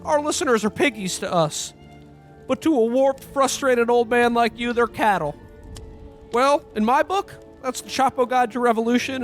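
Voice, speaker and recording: Man acting a high-pitched, stammering desperate podcaster. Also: fairly bright tone. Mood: earnest, indignant. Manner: stammering, folksy, flustered. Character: desperate, pleading podcaster in old holiday-film style. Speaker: podcast host. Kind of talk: comedic parody radio play acting. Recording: music behind the voice.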